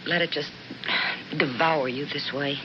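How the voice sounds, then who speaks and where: A woman's voice: sits low, fairly even intonation, measured pace. An actress playing a concerned, practical married woman, frank and probing, in 1970s radio drama style. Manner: probing, earnest. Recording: dull, noisy vintage radio recording.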